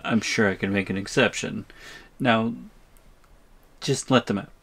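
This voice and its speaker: A man playing an exasperated but protective captive big brother in an audio roleplay, reasoning and pleading in measured tones. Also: lowish voice, fairly even intonation, fairly bright tone. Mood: placating.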